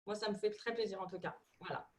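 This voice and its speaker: A woman's voice: mid-range voice, fairly even intonation, quick pace. Hesitant Parisian woman presenter. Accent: Parisian French. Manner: hesitant, many fillers and repeated words. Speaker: tourism ministry representative. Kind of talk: video call presentation.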